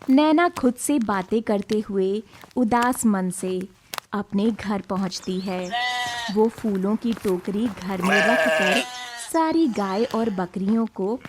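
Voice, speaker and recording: A woman's voice: mid-range voice, slow pace, a little hiss or hum. A woman narrating a scripted cartoon moral story as a storyteller.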